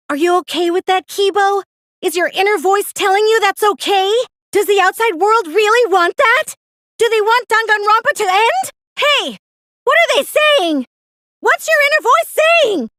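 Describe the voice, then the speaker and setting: A woman's voice: very high-pitched, fairly bright tone, dry recording, clean studio sound, heavily acted. A woman playing a girlish, smug mastermind in an English anime-style game dub, cheerfully menacing, theatrical and taunting as she insists on her point. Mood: alarmed, demanding. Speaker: video game voice actor.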